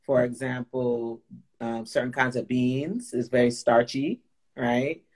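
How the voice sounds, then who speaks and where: A woman with a Guyanese accent hosting a live Q&A chat as a chef, animated and coaching, talking over a video call. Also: takes it slowly. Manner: animated, coaching, jumps into high playful voices. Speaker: host, plant-based chef.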